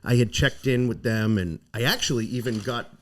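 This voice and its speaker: A man's voice: medium pitch, normal speed. A man who works as a real estate agent, guesting on a podcast and talking in a playful, easygoing way, recorded in a home basement game room. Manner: playful, easygoing, hesitant with fillers. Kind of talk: casual chat and storytelling.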